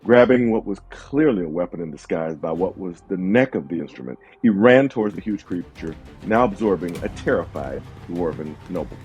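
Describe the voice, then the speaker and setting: A man's voice: deep voice, animated, moderate pace, hardly any pauses, a little mellow, dull audio, soft background music. A man narrating a fantasy audio drama from a script in a dramatic storytelling style.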